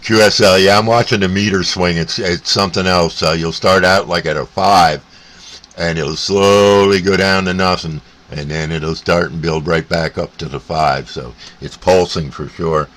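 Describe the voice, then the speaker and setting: A man chatting casually as a CB radio operator, halting and repeating radio jargon. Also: deep voice. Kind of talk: casual radio contact chat. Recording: steady radio static and hiss.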